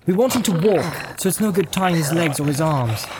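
A man voicing a stern, commanding hobbit in a storybook reading, speaking gravely and with firm emphasis. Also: on the high side, lively intonation, brisk, background noise, voice pushed up, natural voice. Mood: practical.

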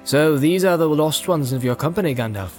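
A man's voice: a little high, expressive, a little mellow, lightly acted. Man playing a stately old king, music behind. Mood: wondering. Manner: formal, measured, courtly. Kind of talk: dramatised storybook reading.

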